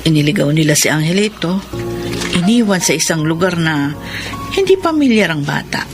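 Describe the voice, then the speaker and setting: Low-voiced, grave woman. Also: very animated, moderate pace, over music. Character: serious woman solemnly recounting a child's abandonment. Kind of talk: Filipino radio drama acting.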